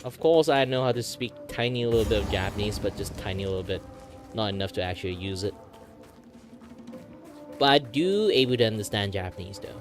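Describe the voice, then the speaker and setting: A man's voice: fairly low pitch, animated, fairly quick. A young man from Malaysia with a Malaysian accent, chatting casually as a streamer, rambling with lots of fillers and loose English grammar. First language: Hakka. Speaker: VTuber streamer. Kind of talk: casual chat answering viewer questions. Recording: music playing loudly behind.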